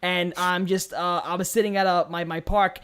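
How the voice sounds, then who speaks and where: A man hosting a podcast in casual comedic chat, crude and excitable, swearing freely and repeating his phrases. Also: high voice, moderate pace, smooth. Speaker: podcast host.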